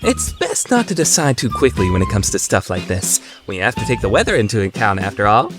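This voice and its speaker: A man's voice: fairly low pitch, wildly swooping pitch, a little fast, over music. A man voicing an awkward, earnest teenage schoolboy with a crush in an anime fandub, hesitant and easily flustered. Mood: gentle, earnest. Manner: flustered, hesitant, overthinking monologue. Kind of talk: anime fandub radio play.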